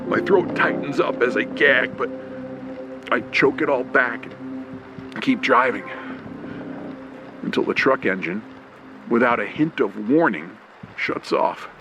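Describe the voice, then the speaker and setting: A man narrating a scripted first-person horror story dramatically in old radio play style, acting out urgent, frightened moments. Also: mid-range voice. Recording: loud music behind the voice.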